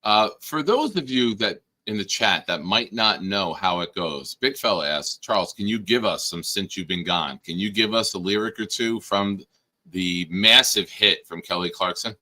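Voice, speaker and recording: A male host with a low, bright and forceful voice that is hoarse and worn. He teases and bosses his guest in loose live-stream banter. Now and then he breaks into sudden loud bursts. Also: animated, moderate pace. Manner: teasing, bossy banter with sudden loud outbursts. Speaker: podcast host. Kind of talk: casual live-stream chat.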